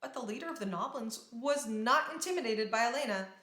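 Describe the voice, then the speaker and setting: A woman narrates a children's storybook with dramatic expression. Her voice is fairly high and very bright, with crisp storytelling energy. The recording is noticeably roomy and echoing. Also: lively intonation, brisk, a little hiss or hum. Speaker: storybook reader.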